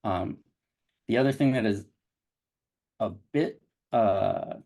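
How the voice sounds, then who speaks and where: A man presenting a digital edition's web interface on a video call, speaking haltingly with many restarts. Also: fairly low pitch, gravelly, mellow. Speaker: digital project developer presenting a site.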